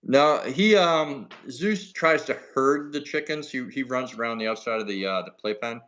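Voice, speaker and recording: A man's voice: medium pitch, moderate pace. A man hosting a casual livestream chat from his homestead, talking easily and reading out viewers' comments. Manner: relaxed, chatty, reads out viewer comments. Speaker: livestream host. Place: home homestead in Alaska.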